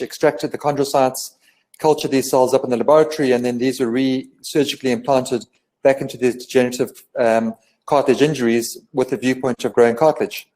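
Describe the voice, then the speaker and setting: A man's voice: medium pitch, darkish tone. A man who is a company guest, explaining his firm's work fluently with frequent ums and restarts over a video call.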